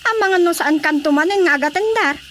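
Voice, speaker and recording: Very shrill, nagging Filipino wife. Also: female voice, brisk, fairly bright tone, slight background noise. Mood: scolding. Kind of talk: comedic radio drama acting.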